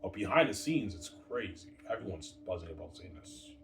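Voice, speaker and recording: Deep-voiced man rambling in an echoey room. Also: expressive, a little fast, fairly bright tone, distant mic, faint music behind. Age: 30s. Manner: repetitive, loose, addresses viewers as guys. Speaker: vlogger. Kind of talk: casual rambling monologue. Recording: echoey room, off-mic, background noise.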